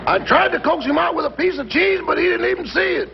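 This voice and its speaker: A man playing a droll, wisecracking household valet with a raspy voice in a 1950s radio comedy. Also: extremely high pitch, fast, exaggerated voice. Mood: droll complaint. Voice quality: gravelly, raspy. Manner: droll, comic complaining to his boss. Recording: very noisy dull 1950s radio broadcast.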